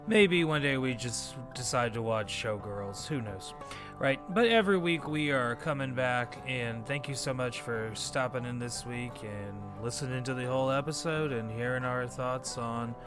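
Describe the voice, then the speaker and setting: A man from Texas hosting a podcast, relaxed and joking in casual chat. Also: medium pitch. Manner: laid-back, joking, sprinkles in y'all. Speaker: podcast host.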